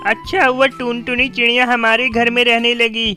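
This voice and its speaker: A scheming male pigeon from a kids' cartoon, acted by a man. He is a fickle husband with a sharp, forward voice, backed by a music bed. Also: very high-pitched, level tone, normal speed, full character voice. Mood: smitten, persuading. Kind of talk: Hindi children's cartoon dialogue.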